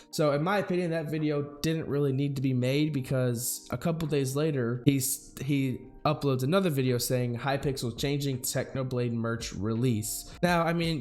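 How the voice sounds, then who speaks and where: Young male host, voice hoarse from a sore throat, earnest and indignant, medium pitch. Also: a little mellow. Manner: earnest, indignant, talks to viewers as "guys". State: hoarse, losing his voice. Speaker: news channel host. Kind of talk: scripted commentary video.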